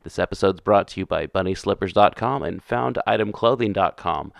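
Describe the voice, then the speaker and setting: A man's voice: deep voice, a little fast, gravelly, no echo, clean studio sound. A man hosting a podcast, talking in a casual, offhand way through intros and plugs. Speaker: podcast host.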